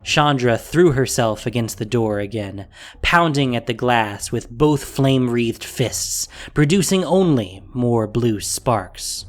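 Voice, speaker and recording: A male narrator reads scripted fantasy fiction with dramatic flair. His voice sits in a middle register and moves widely in pitch, following the action. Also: animated, takes it slowly.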